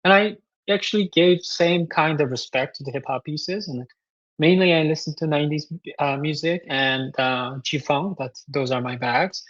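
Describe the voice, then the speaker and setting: A composer from South Korea with a Korean accent, answering interview questions thoughtfully with many fillers and restarts. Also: male voice, on the high side, moderate pace, a bit bright, no room sound, highs cut off. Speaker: guest composer.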